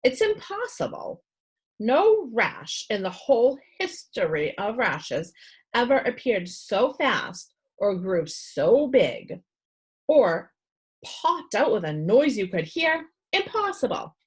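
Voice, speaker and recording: A woman reader acts out a bumbling old storybook doctor, small, round and excitable. He blusters and repeats himself absent-mindedly, with the pitch swooping high and a husky edge. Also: slow pace, full character voice. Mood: baffled, blustery.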